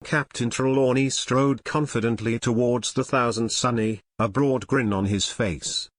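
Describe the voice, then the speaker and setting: A man's voice: medium pitch, steady tone, measured pace, dry recording. Dry-sounding male story narrator. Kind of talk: scripted audio drama narration.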